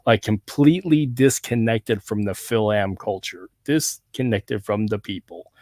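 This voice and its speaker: A man's voice: lowish voice, dry recording, clean studio sound. A man from Southern California with a laid-back accent that has a Hawaiian touch, talking casually as a podcast host. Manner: relaxed, rambling, quick to agree. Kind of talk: casual conversation.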